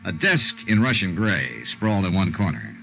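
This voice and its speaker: An actor playing a wry, breezy American insurance investigator in a 1950s radio drama, with a brisk, clipped delivery. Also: male voice, low voice, very animated, creaky, bright, forceful tone, soft background music, full character voice. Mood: brisk, matter-of-fact. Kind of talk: 1950s radio detective drama, first-person narration. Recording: dull old 1950s radio recording.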